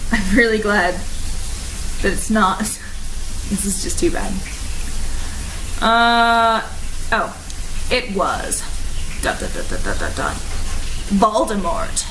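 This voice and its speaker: A woman's voice: mid-range voice, fairly bright tone. A young woman reading fan fiction aloud for laughs, in an amused, mocking way, breaking off to comment on it. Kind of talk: comedic dramatic reading with casual asides. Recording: clearly noisy, hissy amateur recording.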